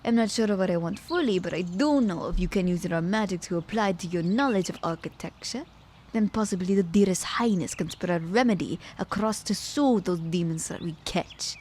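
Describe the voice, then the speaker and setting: A woman's voice: fairly low pitch, normal speed, darkish tone, slight background noise, lightly acted. Woman playing a sly, theatrical immortal witch. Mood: sly, coaxing. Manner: playful, mock-courteous, theatrical delivery. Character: clever, teasing immortal witch vixen, theatrical and haughty. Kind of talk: audio roleplay acting.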